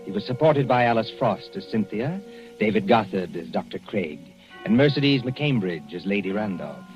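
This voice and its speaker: A man announcing the closing credits of a 1940s radio show in a measured, formal way. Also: on the low side, expressive. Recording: dull 1940s radio broadcast. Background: orchestral music.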